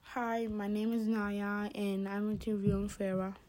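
A woman's voice: mid-range voice, level tone, a little slow, mellow. A teenage girl interviewing a fellow student for a school podcast, asking short, plain questions. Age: teens.

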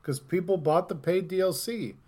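A man's voice: sits high, normal speed, a little mellow, slightly rough. A man co-hosting a gaming podcast in casual conversation, thinking aloud with some hesitation. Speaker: podcast co-host.

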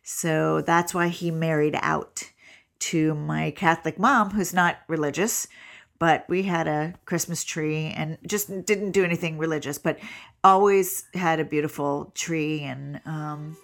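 A woman's voice: low voice, fairly even intonation, measured pace, vocal fry. A middle-aged woman with a stuffy nose, co-hosting a relationship show in casual, chatty talk. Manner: chatty, laughs easily. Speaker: co-host. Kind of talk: casual chat.

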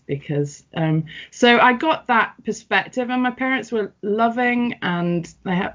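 A middle-aged woman from North West England, talking through her life in a relaxed, reflective interview with frequent ums and restarts. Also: medium pitch. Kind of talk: personal interview. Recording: muffled, dull audio.

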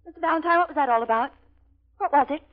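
Actress as a high-voiced, sceptical radio secretary. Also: female voice, rapid-fire, natural voice. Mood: concerned. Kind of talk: 1940s radio comedy acting. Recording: muffled old radio recording.

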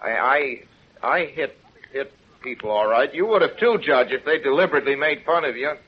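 An actor playing an earnest, plain-spoken small-town young man in a 1930s radio drama, folksy and halting. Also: medium pitch, animated, breathy edge. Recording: dull, noisy 1930s radio recording.

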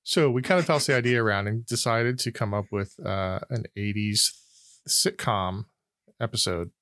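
A man running a trivia quiz on a podcast, explaining the rules in a halting way with frequent restarts. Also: fairly low pitch, a bit bright, no echo, studio quality. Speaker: quizmaster. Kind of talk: casual chat, explaining rules.

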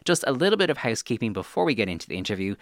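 A man's voice: medium pitch, big pitch swings, rapid-fire. An Irish man hosting a podcast, chatting in a casual, informal interviewing style full of asides. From Ireland. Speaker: podcast host.

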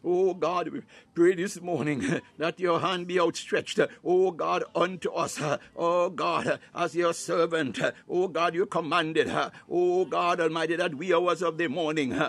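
Man, fairly high-pitched, fervent extemporaneous prayer, declamatory delivery, short exclamatory phrases. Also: steady tone, a little slow, a bit bright. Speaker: prayer leader.

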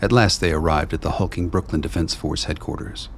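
Casting: male audio drama narrator, bottomless bass with fry, measured ominous delivery, light ambient bed. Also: a little hiss or hum. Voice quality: deep, gravelly. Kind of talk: scripted dramatic narration for a radio play.

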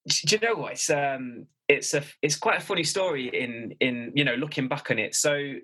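Mancunian man, late 20s, podcast guest, bright voice, rambling delivery full of restarts. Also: mid-range voice, normal speed, breathy edge. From Manchester, England. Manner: rambling with frequent restarts and fillers. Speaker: guest, personal trainer. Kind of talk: casual interview chat.

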